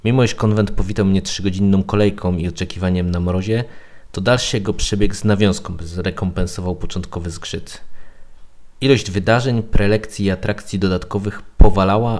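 Deep-voiced male podcast guest. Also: fairly even intonation, moderate pace, darkish tone.

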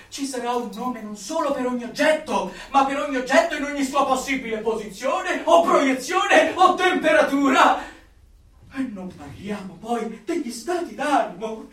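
A zealous, exuberant servant of a dystopian regime, declaiming with fanatical fervour. The voice is high and bright and swoops widely from line to line. It is heard in a radio play. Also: male voice, full character voice. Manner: declamatory, fanatical, swinging from hushed to shouting. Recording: very echoey room, far from the mic.

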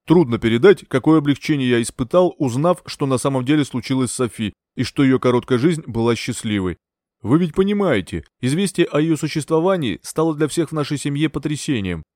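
A stubborn, indignant gentleman, as a male narrator plays him, protests firmly and loudly. His lively voice is heard close and dry. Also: mid-range voice, brisk, no room sound, lightly acted. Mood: earnest. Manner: firm, loud protesting.

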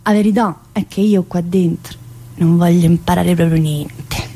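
A woman's voice: fairly low pitch, steady tone, measured pace, mellow, husky. An actress playing a restless, needy, brash woman prisoner in a theatrical monologue, speaking colloquial, dialect-laced Italian with a southern accent. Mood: bitter, defiant. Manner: colloquial, dialect-laced, addressing an unseen teacher.

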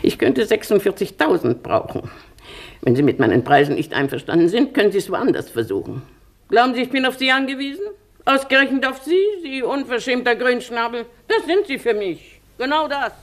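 A woman's voice: a little high, big pitch swings, brisk. An actress in a radio drama playing a curt, suspicious old pawnbroker woman who haggles with open contempt. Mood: scornful, snapping. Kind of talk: German radio drama acting.

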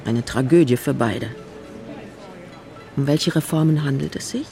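Haughty, creaky-voiced royal conspirator, music behind. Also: male voice, mid-range voice, measured pace. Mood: cold, scornful. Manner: imperious, clipped, disdainful questioning. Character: haughty, cold royal conspirator, sharp and contemptuous. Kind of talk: German radio drama acting. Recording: music under the voice.

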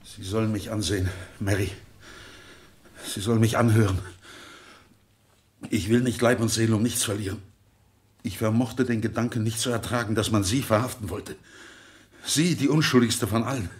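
An actor playing an obsessive, lovesick murderer in a radio crime play, impassioned, bitter and pleading. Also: male voice, on the low side, moderate pace, long pauses, lightly acted. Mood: desperate pleading.

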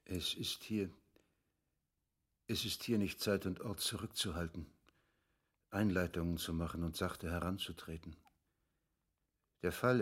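A grave army major in a radio drama. His voice is very low and somewhat husky, and he speaks with earnest restraint in formal, old-fashioned phrases. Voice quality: deep, husky. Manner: solemn, halting, formal diction. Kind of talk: literary radio drama acting.

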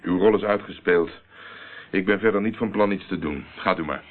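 Actor as deep-voiced commanding investigator, tinny radio. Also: male voice, normal speed, a little hiss or hum, close to an everyday voice. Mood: calm, grim. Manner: insistent, curt, in control. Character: cool, commanding male investigator. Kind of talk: Dutch radio play acting. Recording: muffled, tinny old radio recording.

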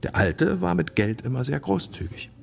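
An actor playing a shrewd older police commissioner with a gravelly voice in a radio crime play. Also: male voice, mid-range voice, big pitch swings, normal speed, darkish tone. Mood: dry briefing.

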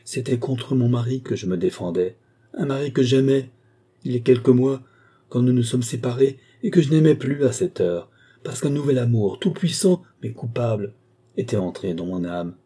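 A man's voice: mid-range voice, normal speed, warm tone, slightly rough, pitched above the performer's own voice, lightly acted. A man voicing a passionate, anguished adulterous wife in a storybook, pleading in a desperate, melodramatic way. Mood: anguished confession. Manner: melodramatic, imploring.

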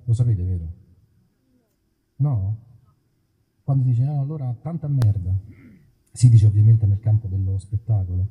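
A man's voice: mid-range voice, slightly rough, phone-quality sound. A man talking to his audience in a colloquial, theatrical way during a live outdoor stage show. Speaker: musician, singer performing a show. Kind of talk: live stage banter between songs. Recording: live stage performance with music.

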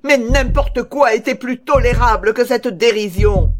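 A woman reading a gothic horror story as a guilt-maddened murderer, in a dramatic, deliberate manner. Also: fairly high pitch, soft background music, pitched above the performer's own voice. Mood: frantic, agitated. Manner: dramatic, deliberate, building to frenzied cries.

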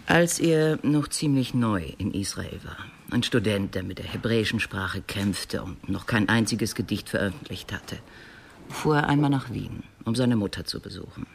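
An actor playing a thoughtful literary man in a radio drama, explaining in a deliberate, reflective way with a creaky voice. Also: on the low side, animated. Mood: reflective, puzzled. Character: thoughtful, reflective literary acquaintance of a murdered professor. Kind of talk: German radio drama acting. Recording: faint background noise.

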